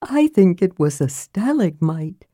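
A woman's voice: fairly high pitch, sing-song, measured pace, mellow, no echo, voice pushed up. A woman voicing a small, curious and chatty male kender adventurer in a fantasy audiobook, with earnest, childlike enthusiasm. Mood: solemn.

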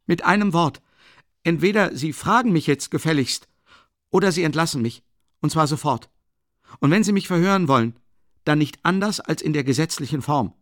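A man voicing an irritable young male student who speaks in clipped, sharp, nearly shouted demands. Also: fairly high pitch, lively intonation, moderate pace, no echo, studio quality, voice pushed up. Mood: nearly shouting.

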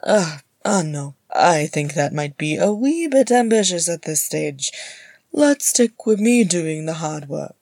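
Woman putting on a gruff, playful dwarf uncle. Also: low-pitched, expressive, a little slow, darkish tone, no room sound, studio quality, exaggerated voice. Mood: playful, doting. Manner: mock-solemn, playful, teasing. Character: gruff, jovial male dwarf warrior, doting uncle.

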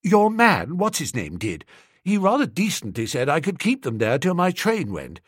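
Amiable young English toff, done by a male narrator: sheepish, bumbling, chatty, swooping pitch, husky, storybook comedy. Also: wildly swooping pitch, normal speed, no room sound, clean studio sound, heavily acted. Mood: mildly dismayed. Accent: upper-class English. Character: mild, sheepish young English lord, amiable silly student.

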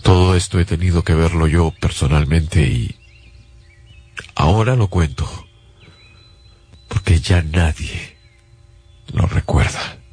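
An actor playing a haunted, desperate survivor of an invasion, telling his story in halting, anguished phrases in a radio drama. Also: rumbling low, slight background noise. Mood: bitter, defiant. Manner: halting, repeating words, anguished. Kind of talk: dramatic first-person radio drama narration. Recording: loud music under the voice.

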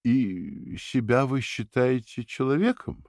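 Fanatical interrogator, wildly varying pitch. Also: male voice, lively intonation, takes it slowly, no room sound, clean studio sound. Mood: quietly contemptuous. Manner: lecturing, theatrical, swinging from hushed to emphatic. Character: fanatical, domineering male party interrogator, coldly cruel. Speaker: actor in an audio play. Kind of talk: dramatic audio play dialogue.

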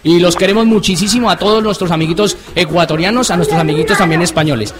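A man's voice: high voice, fast. A man hosting a children's call-in program, chatting with young callers in a doting, exclamatory way.

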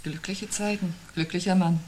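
An actress playing a sceptical, probing wife, unsettled yet dryly ironic, with a husky voice and measured, articulate delivery in a 1970s radio play. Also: female voice, fairly low pitch, fairly even intonation, a little mellow, slight background noise, close to an everyday voice.

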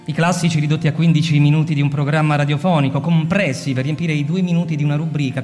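An actor playing a cold, persuasive male fire captain lecturing a subordinate in a radio drama, smooth and insistent, sometimes hushed. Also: a little high, in a large hall, lightly acted.

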